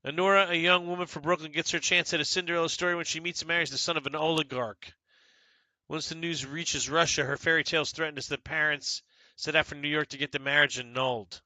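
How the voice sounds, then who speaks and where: Man, gaming streamer, casual chat, very bright voice, dry sound. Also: fairly high pitch, fast talker, no echo, rough recording. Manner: relaxed, chatty, talks to viewers by name. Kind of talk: casual commentary over gameplay.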